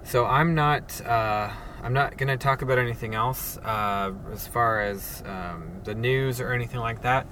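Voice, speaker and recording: A man hosting a casual solo podcast from his parked car, speaking in a monotone with many halting fillers and restarts. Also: mid-range voice, recorded from a distance, slight background noise. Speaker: podcast host. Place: sitting alone in a parked car.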